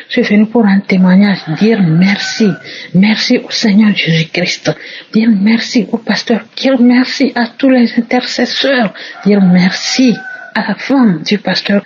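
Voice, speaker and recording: A woman's voice: medium pitch, slightly rough. A woman giving an emphatic personal testimony, repeating her phrases, on a muffled recording.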